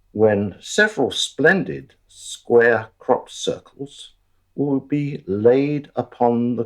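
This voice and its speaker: A man plays a wry storyteller narrating a radio drama. His voice is low and husky, and he takes his time. The intonation rises and falls widely while the asides stay deadpan. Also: full character voice. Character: wry male storyteller looking back on events.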